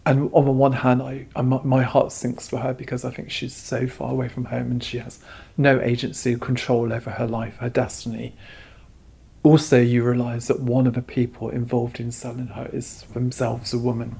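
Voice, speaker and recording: A man with a husky, slightly rough voice talks as an interviewed curator. He is earnest and reflective, and his intonation stays very flat. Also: mid-range voice, normal speed. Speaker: exhibition curator being interviewed. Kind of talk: explanatory interview talk.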